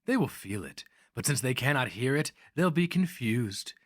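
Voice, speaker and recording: A man's voice: medium pitch, sing-song, moderate pace, dry recording, clean studio sound, pitched above the performer's own voice. A man voicing a canny, mocking older slaver and mentor in a dramatic fantasy reading, needling and emphatic. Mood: wry instruction.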